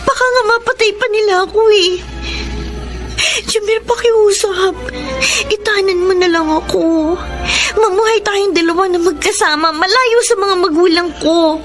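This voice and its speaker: A young woman in a radio romance drama, desperate and pleading. Her voice is very high and tearful, with music playing underneath. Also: normal speed. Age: young adult.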